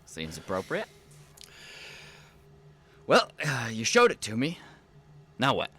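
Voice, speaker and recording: An actor playing a cynical, greedy younger tough in an audio drama, speaking bluntly with sarcastic suspicion. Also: male voice, mid-range voice, huge pitch swings, slow, fairly bright tone, slightly rough, full character voice. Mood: mocking.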